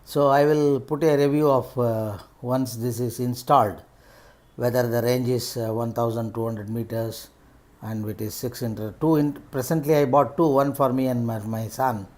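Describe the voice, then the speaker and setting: A middle-aged man with an Indian accent giving unscripted unboxing commentary as a vlogger, in halting, piecemeal phrases. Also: mid-range voice, steady tone, a little mellow. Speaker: vlogger reviewing rider gear.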